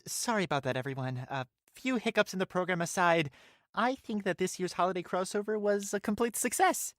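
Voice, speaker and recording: A woman's voice: lowish voice, brisk, no echo, close to an everyday voice. Earnest young hero voiced by a woman, polite, upbeat, dry recording, scripted audio drama sign-off. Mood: cheerful.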